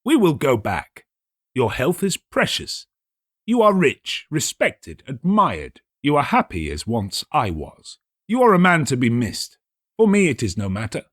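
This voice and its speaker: A scheming aristocrat played by a male narrator from a gothic storybook, all formal courtesy and insistent false concern. The pitch is lifted above the reader's own and moves expressively. The recording is dry. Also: huge pitch swings, unhurried, dry recording. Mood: feigned concern. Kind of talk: dramatic audiobook character reading.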